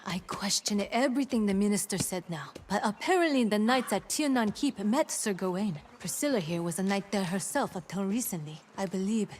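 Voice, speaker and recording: A woman's voice: mid-range voice, lively intonation, fairly quick, few pauses, a little hiss or hum, lightly acted. A woman playing an earnest, worried ally in a fantasy video game, with formal, articulate speech. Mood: wary.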